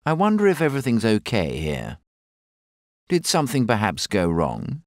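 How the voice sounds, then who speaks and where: A man's voice: lowish voice, huge pitch swings, moderate pace, no echo, clean studio sound. A man voicing a polite, deferential British artillery soldier with a British accent, reporting to his officer. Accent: British English. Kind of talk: game unit voice lines.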